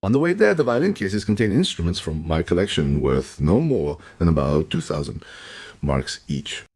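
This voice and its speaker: An actor playing a smug, suave and evasive violin dealer and smuggler in a radio play. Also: male voice, deep voice, sing-song, normal speed, darkish tone, breathy edge, dry recording, exaggerated voice. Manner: suave, self-assured explaining.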